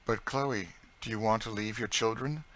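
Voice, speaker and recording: A male narrator gives voice to a genteel lady of the house in a storybook. The voice sits somewhat raised and clear-edged, with a composed, kindly and measured way of speaking. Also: lowish voice, steady tone, fairly bright tone, voice pushed up, close to an everyday voice, low-quality recording. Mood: questioning. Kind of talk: audiobook character dialogue.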